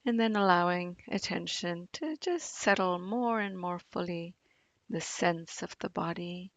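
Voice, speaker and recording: A woman guides a meditation very slowly, in a fairly low voice. Her delivery is gentle and soothing, with long pauses and a gravelly fry at the edges. The sound is close and dry. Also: no echo. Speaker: meditation teacher. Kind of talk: guided body scan meditation.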